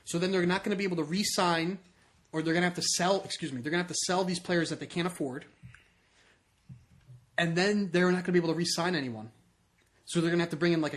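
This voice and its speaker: Male podcast co-host, slightly high-pitched, conversational soccer chat, circles back over his own phrases. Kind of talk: casual soccer discussion.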